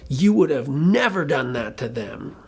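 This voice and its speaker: A presenter giving an informal explainer talk in a colloquial, emphatic way, with a gravelly, husky voice. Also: mid-range voice, big pitch swings, unhurried, a little mellow. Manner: colloquial, emphatic, occasionally swearing. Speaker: presenter explaining relationship conflict.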